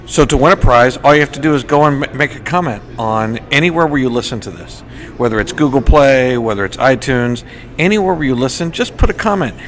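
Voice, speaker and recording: A man hosting a podcast in casual chat, enthusiastic and somewhat halting, often repeating words. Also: medium pitch, animated, a bit bright. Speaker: podcast host. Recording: faint background noise.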